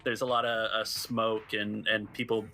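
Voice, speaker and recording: A man's voice: fairly low pitch, level tone, normal speed, pushed and bright, a little hiss or hum. A man narrating a tabletop roleplaying game as game master, in conversational fashion.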